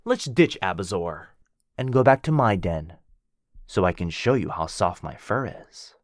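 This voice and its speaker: A man's voice: sits low, sing-song, measured pace, no room sound, studio quality. A man playing a cocky, teasing werewolf. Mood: teasing flirty.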